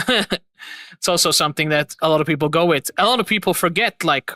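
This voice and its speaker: A man's voice: a little high, fairly quick, sharp tone, dry recording, clean studio sound. A man in his twenties hosting a casual livestream chat, upbeat, laughing often and trailing off mid-sentence. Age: 20s. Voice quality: youthful-sounding. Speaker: host.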